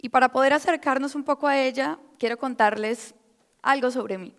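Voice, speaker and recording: Young Colombian woman researcher, talking to a hall audience: smooth, fairly high voice, friendly and a bit hesitant, long reverb. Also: moderate pace, a bit bright. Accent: Colombian Spanish. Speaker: predoctoral researcher giving a talk. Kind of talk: public talk to an audience. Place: lecture hall.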